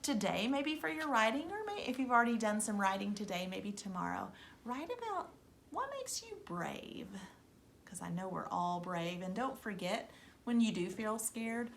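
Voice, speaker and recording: A woman teacher reading a storybook aloud to young children, expressive and emphatic, speaking to them directly. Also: mid-range voice, normal speed, gravelly, slight background noise.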